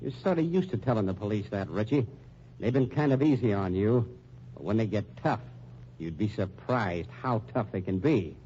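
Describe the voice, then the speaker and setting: An actor playing a sardonic, hard-boiled American police lieutenant, dry and folksy with menace, in 1940s radio style. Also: male voice, lowish voice, animated, moderate pace, dark tone, lightly acted. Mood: menacing. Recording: muffled old radio recording, faint noise.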